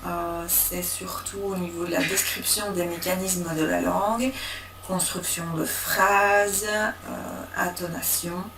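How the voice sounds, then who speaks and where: A woman's voice: on the low side, level tone, takes it slowly, reverberant, recorded from a distance, noisy background. A woman listing grammar difficulties in a hesitant way. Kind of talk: interview answer.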